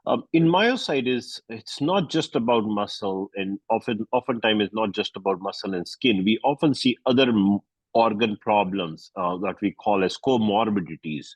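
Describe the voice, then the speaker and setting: Low husky man asking questions. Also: no room sound. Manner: measured, hesitant with "um". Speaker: interviewer. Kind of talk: medical interview questions.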